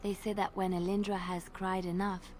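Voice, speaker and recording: A woman's voice: medium pitch, flat delivery, normal speed, darkish tone, slight background noise, close to an everyday voice. Woman voicing a wistful young bard. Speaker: game character telling a tale. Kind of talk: scripted video game dialogue.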